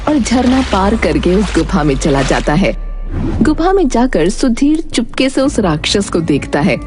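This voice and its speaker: A woman giving scripted narration for an animated children's fairy tale. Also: mid-range voice, a little slow, music in the background.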